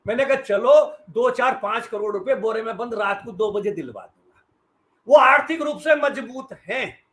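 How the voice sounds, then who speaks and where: A man's voice: extremely high pitch, normal speed, fairly bright tone. A middle-aged man gives sarcastic, emphatic political commentary as a journalist on a debate panel. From western Uttar Pradesh, India.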